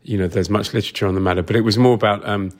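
A man's voice: on the low side, steady tone, rapid-fire. A man being interviewed about his work, talking casually and reflectively, thinking aloud and rephrasing as he goes. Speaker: film sound designer, interview guest.

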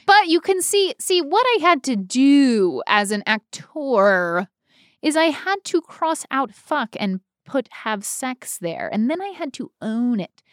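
A woman with a fairly high voice and a close, dry sound co-hosts a casual comedy podcast. She tells stories in a self-mocking, playfully dramatic way. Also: very animated, slow pace, no echo. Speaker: podcast co-host.

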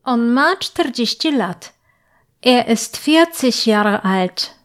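A woman teaching German to learners, speaking clearly and patiently and pausing so the listener can repeat. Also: a little high, animated. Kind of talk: slow, clear language lesson with repetition drills.

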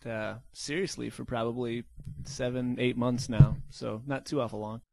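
Man, 20s, from Michigan, guitarist guest, casual, hesitant, average pitch. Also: normal speed, creaky, no room sound. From Michigan, USA. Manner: relaxed, hesitant with fillers. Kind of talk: casual interview.

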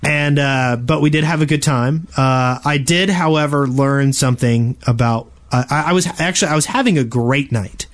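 A man's voice: medium pitch, normal speed, hardly any pauses. A man co-hosting a casual gaming podcast, telling anecdotes in a rambling, conversational way. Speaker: podcast co-host.

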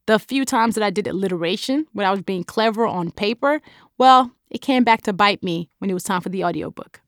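Nigerian woman author, bright emphatic delivery, dead-dry studio read. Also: mid-range voice, a little fast, no room sound. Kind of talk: reading a prepared statement about her audiobook.

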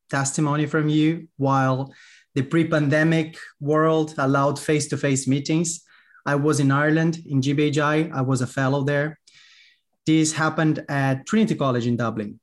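A man with a Brazilian accent hosting a podcast, reading a friendly, lightly joking intro and then interviewing a guest. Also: fairly high pitch. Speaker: podcast host, journalist.

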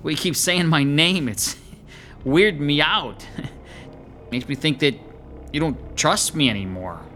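A man's voice: on the high side, expressive, measured pace, pushed and bright, a little hiss or hum. An actor playing a slangy, grumbling, middle-aged male New York lackey in an audio horror drama. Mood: nervous.

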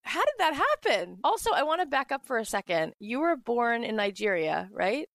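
A woman's voice: a little high, very animated, moderate pace, creaky, fairly bright tone, dry recording, studio quality. A woman hosting a podcast, asking questions in a bubbly, enthusiastic way. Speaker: podcast host. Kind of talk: interview conversation.

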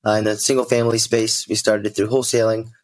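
A man's voice: on the low side, level tone, fast talker. A twenty-year-old man from the United States, chatting casually as a guest on a podcast. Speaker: podcast guest, real estate investor. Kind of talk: casual conversational storytelling.

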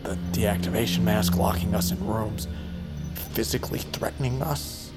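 A man's voice: lowish voice, steady tone, moderate pace, loud music behind the voice, close to an everyday voice. An actor playing a blunt, skeptical man who questions the others pointedly in an audio fiction drama. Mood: skeptical, pressing.

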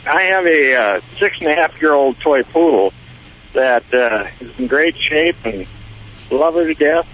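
A man's voice: a little high, lively intonation, a bit bright. Male caller, hesitant, muffled phone sound. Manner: halting, hesitant telling.

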